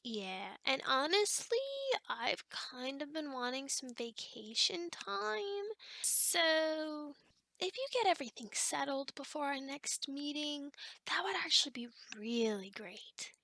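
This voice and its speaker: A woman's voice: high-pitched, animated, slow, gravelly, sharp tone, no echo, exaggerated voice. A woman voicing a chatty, teasing female sleep paralysis demon in an ASMR roleplay, talking in a casual, rambling, hesitant way. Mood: wry, weary. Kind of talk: ASMR roleplay, one-sided conversation.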